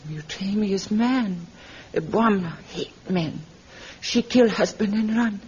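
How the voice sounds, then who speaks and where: A woman playing a simple African tribeswoman in an old radio adventure, speaking halting broken English. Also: mid-range voice, a little mellow, natural voice. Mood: bitter. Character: simple, bitter African tribeswoman who hates men. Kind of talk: vintage radio adventure drama acting. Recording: dull, noisy vintage radio recording.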